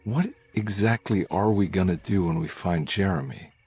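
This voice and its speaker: Low-voiced, wry private eye. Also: male voice, normal speed, darkish tone, breathy edge, faint music behind. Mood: probing, dry. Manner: wry, casually polite. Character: wry, unflappable male private investigator. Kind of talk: cinematic game voice acting.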